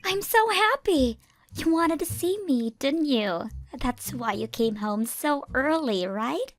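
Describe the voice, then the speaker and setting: A man's voice: very high-pitched, expressive, slow pace, a bit bright, pitched above the performer's own voice, exaggerated voice. A man voicing a lovestruck, delusional young female stalker in a manga dub, with a sugary, cooing, clingy delivery. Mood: gleeful, clingy. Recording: music behind the voice.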